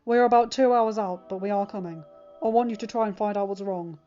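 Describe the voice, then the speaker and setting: A woman's voice: medium pitch, brisk, dark tone, faint music behind, natural voice. Fatherly team leader read by a woman, serious and decisive, dull-sounding storybook recording. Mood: commanding. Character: concerned, commanding man, fatherly team leader.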